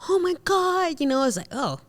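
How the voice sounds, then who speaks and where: A native Spanish-speaking woman from Venezuela with a somewhat high voice hosts a casual conversation. She is emphatic and animated as she shares stories and puts questions to her guests. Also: brisk. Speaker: panel host, actress. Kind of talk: casual panel conversation, personal storytelling.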